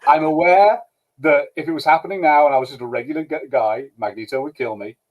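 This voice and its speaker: A man's voice: fairly high pitch, fast talker, rough recording. A man on a podcast panel giving emphatic opinions in casual talk, often acting out quotes in mock dialogue. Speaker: podcast panelist discussing a show. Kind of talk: casual opinionated group discussion.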